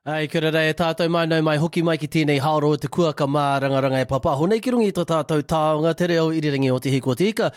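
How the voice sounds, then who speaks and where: Male New radio host, friendly, relaxed, dry studio sound. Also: a little high, very fast, hardly any pauses, dry recording. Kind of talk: radio interview.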